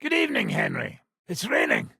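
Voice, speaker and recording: Brash, swearing medieval game mercenary: British, gravel-throated, high-pitched for a man, forceful delivery. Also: wildly swooping pitch, brisk, no echo, studio quality, full character voice. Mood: hearty greeting. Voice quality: rough, raspy, gravelly voice. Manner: coarse, brash, swearing banter. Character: foul-mouthed, boozy, boisterous male medieval mercenary fighter. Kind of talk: video game character voicelines.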